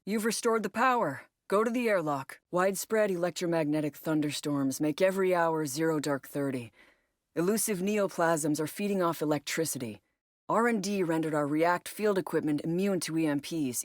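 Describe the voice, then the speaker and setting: Low-voiced military woman, voiced by an actress. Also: normal speed, no echo, clean studio sound, close to an everyday voice. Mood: wry, subdued. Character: female military operative briefing squad on alien parasite missions. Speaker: mission briefer and incursion leader. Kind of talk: scripted video game mission briefing.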